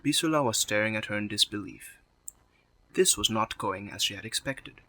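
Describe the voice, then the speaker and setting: A man narrates a scripted story in a fairly low voice. His tone is crisp-edged and forceful as he tells the drama. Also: moderate pace.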